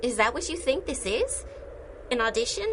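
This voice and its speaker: Young female superhero, earnest and plucky, higher-pitched, radio serial with background noise. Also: sing-song, normal speed. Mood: sheepish. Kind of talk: radio drama acting.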